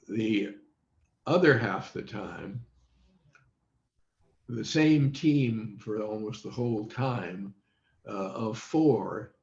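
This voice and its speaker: A man in his eighties or older reminisces as an interview guest, halting and measured, with long pauses, on a video call. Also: lowish voice. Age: 80s or older. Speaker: interview guest, former radio quiz show child panelist. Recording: dull, roomy video call audio.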